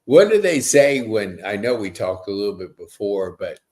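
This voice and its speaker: A man's voice: on the low side, sing-song, normal speed, a little mellow. A middle-aged man hosting a casual podcast interview, effusive and rambling, with stop-and-start questions. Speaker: podcast host.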